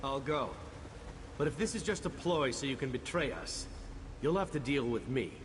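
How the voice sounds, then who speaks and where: A man's voice: mid-range voice, lively intonation, moderate pace, close to an everyday voice. An actor playing a wary, cocky, good-humored male adventurer knight in a video game, with an informal, wry delivery. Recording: hall reverb, clear background noise.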